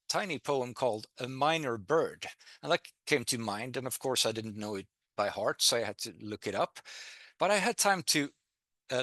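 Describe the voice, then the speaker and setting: A man's voice: mid-range voice, bright, forceful tone, dry recording. A middle-aged man with a Swedish accent giving an online public talk from home, hesitant, with many fillers and restarts. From Sweden. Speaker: guest lecturer. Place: home in a town, by video link. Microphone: computer mic on a video call.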